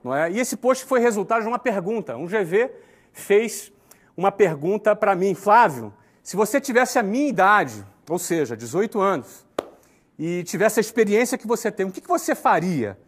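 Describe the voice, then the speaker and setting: A man in his forties from Brazil, explaining his advice in an earnest, measured talk to the viewer. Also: sits high, big pitch swings. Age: 40s. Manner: earnest, explanatory, uses tag questions. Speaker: entrepreneur giving advice. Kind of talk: talking-head lesson to camera.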